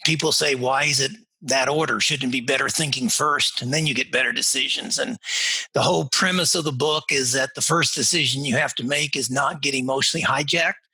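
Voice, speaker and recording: A man author explaining the premise of his book in a measured way on a talk show. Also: medium pitch, steady tone, sharp tone, slightly rough, dry recording, poor audio. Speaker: author, guest.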